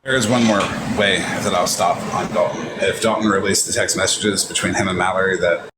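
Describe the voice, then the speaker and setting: A man's voice: low-pitched, fairly even intonation, a little fast. A man talking casually on a livestream panel, blunt and given to swearing. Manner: blunt, profane. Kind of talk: casual gossip chat. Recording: clearly noisy background ambience.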